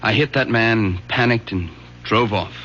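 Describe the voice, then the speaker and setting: An actor playing an earnest, resolute but hurt young son in a radio drama. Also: male voice, on the low side, moderate pace, pushed and bright. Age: young adult. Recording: dull, noisy old radio broadcast.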